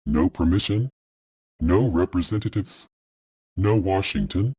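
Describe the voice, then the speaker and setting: A man's voice: low voice, measured pace, a little mellow, slightly rough, heavily acted. A man voicing a menacing, boastful skeleton warlord in a fan-made drama, taunting in clipped phrases through dull lo-fi audio.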